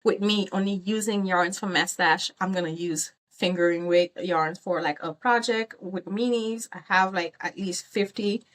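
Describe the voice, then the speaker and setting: A woman's voice: lowish voice, normal speed, few pauses, a bit bright. A woman who grew up in Haiti, talking through her crafting plans in a chatty, rambling vlog with frequent ums and some teasing of herself. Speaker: crochet vlogger. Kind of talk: casual vlog chat.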